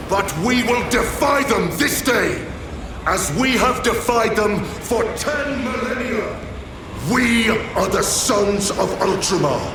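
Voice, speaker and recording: Zealous sci-fi game commander, very high raised pitch, grand and unhurried oratory, heavily echoing space. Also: male voice, gravelly, exaggerated voice. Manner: grand, declamatory battle oratory. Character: commanding space marine chapter master, stern, zealous warrior lord. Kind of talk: dramatic video game cutscene acting. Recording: echoing, distant, music behind.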